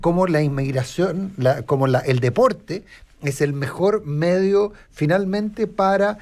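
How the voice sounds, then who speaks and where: A man's voice: high voice, unhurried, darkish tone. A man with a Chilean accent commenting in a live radio conversation, often repeating words as he searches for the next one. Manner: hesitant, stammering repetition of words. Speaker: radio show panelist.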